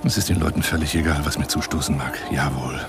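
A man's voice: very deep voice, quick pace, creaky, over music. An actor playing a lonely, boastful, needy middle-aged man in a theatrical radio play monologue, pompous and effusive. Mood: wounded, reproachful. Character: lonely fat armchair adventurer, boastful, needy, pleading. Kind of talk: radio play monologue, addressing a woman by phone.

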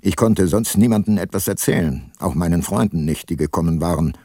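Radio drama lead: aging, guilt-laden detective narrator, low register, reflective, dry studio sound. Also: male voice, mellow, husky, no echo. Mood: brooding. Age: older. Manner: measured, introspective.

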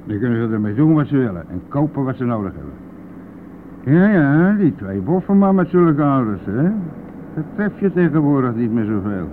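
An actor playing a boastful, brash and talkative bus driver in a radio play, with a blustery, colloquial manner. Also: male voice, mid-range voice, very animated, normal speed, natural voice. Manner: blustery, colloquial, mildly swearing. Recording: narrow phone-like old radio recording, faint noise.

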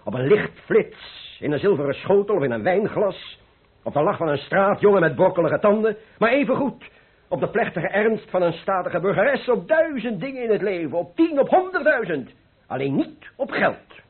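Older painter, carefree and exuberant, high swooping voice, persuasive, radio drama, muffled old recording. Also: male voice, big pitch swings, normal speed, breathy edge, exaggerated voice. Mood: playful, passionate. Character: cheerful, carefree, philosophizing older male painter. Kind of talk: Dutch radio play acting.

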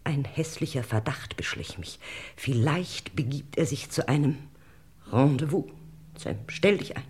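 Genteel lady confessing in vintage radio mystery; husky, melodramatic, swooping intonation. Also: rumbling low, lively intonation, unhurried, a little mellow, in a whisper, exaggerated voice. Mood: solemn, confiding. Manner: theatrical, old-fashioned florid speech. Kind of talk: vintage German radio crime drama acting.

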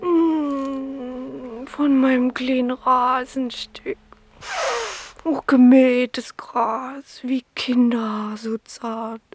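Slow, dreamy watchwoman. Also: high-pitched, fairly even intonation, a little hiss or hum. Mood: dreamy delight. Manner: halting, musing, murmuring to herself. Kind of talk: German radio play acting.

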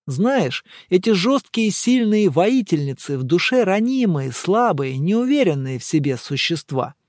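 A man voicing a wry, self-assured male character in an audiobook, measured, ironic and mock-pompous. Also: high-pitched, big pitch swings, darkish tone, no room sound, studio quality, voice pushed up.

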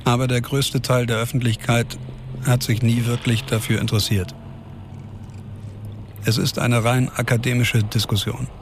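An actor playing a resolute scientist and doctor in a radio drama, speaking solemnly and emphatically in a public-speech style. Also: male voice, mid-range voice, flat delivery, moderate pace, pauses often, slight background noise, natural voice. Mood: defiant, solemn. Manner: solemn, emphatic, repeating phrases. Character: resolute male scientist and doctor defending his experiment. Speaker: scientist and physician character.

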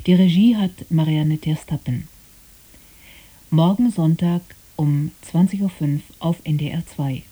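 A woman's voice: fairly low pitch, dark tone, breathy edge. A woman reading scripted programme announcements on radio in a composed, formal manner.

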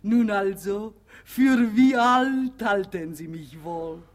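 An actress playing a maid disguised as a boastful quack doctor in a radio play, pompous, emphatic and dismissive. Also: female voice, mid-range voice, takes it slowly, natural voice. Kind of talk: German radio play acting.

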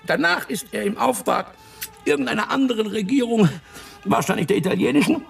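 An actor playing a knowing, self-satisfied police investigator in a German radio drama, speaking deliberately in a husky, gravelly voice. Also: male voice, sits high, distant mic, faint music behind. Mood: matter-of-fact. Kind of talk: German radio play dialogue.

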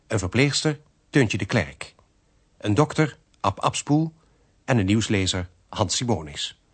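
A male announcer reads scripted credits in a formal, measured way. His voice sits somewhat low and has a husky edge. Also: big pitch swings. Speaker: closing announcer.

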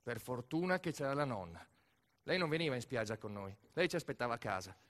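A man's voice: mid-range voice, very animated, brisk, fairly bright tone. A man performing a theatrical storytelling monologue as actor-narrator, speaking dramatically in short phrases. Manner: dramatic, short phrases, as a boy recalling family. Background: music.

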